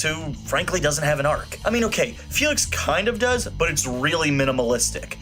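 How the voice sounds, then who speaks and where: A man with a fairly high, very animated voice hosts a scripted film review. He is opinionated and casually irreverent, with light music running behind him. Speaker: film review show host.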